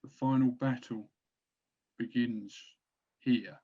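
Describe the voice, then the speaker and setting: A man's voice: medium pitch, fairly even intonation, slow pace, slightly rough. The audio is a little dull and boxed in. A man acts out a weary orc war band leader with a mid-range voice, rallying his crew in a comic fantasy read-through. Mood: grave, resolute. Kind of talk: fantasy comedy table read.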